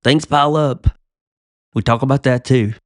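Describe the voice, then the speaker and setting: A man's voice: mid-range voice, steady tone, normal speed, dry recording, studio quality. A man hosting a casual TV podcast, talking easily with a slightly stuffy voice from a cold. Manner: conversational, slightly self-interrupting. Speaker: podcast host. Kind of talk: casual chat.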